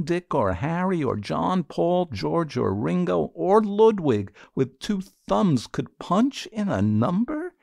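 A man performing poetry in an expressive, theatrical, deliberate reading style. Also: fairly high pitch, creaky, no room sound, clean studio sound.

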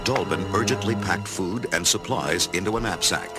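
A man's voice: deep voice, moderate pace, loud music behind the voice. A man reading dramatic scripted narration for a children's storybook.

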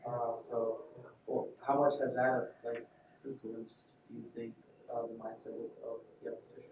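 Young guy asking a question, flat voice, stops a lot, muffled and far off. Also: medium pitch, noisy background. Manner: halting, searching for words. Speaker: audience member, student. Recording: dull echoey room from far off.

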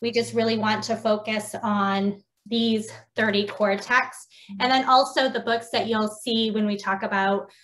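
A woman's voice: medium pitch, fairly even intonation, measured pace, fairly bright tone, poor audio. A woman from the United States, a preschool teacher, explaining things informally in an online training workshop. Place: indoors on a video call. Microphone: video call.

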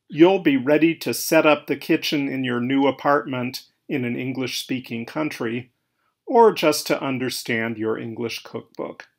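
A middle-aged man presenting a scripted English vocabulary lesson as a teacher, enunciating deliberately. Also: mid-range voice, vocal fry.

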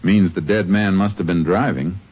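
An actor playing a calm, determined Texas Ranger in a 1950 radio western, speaking in clipped, matter-of-fact lines. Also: male voice, low-pitched, normal speed, slightly rough. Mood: businesslike. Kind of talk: 1950 radio crime western acting and narration. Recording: muffled, noisy old 1950 radio recording.

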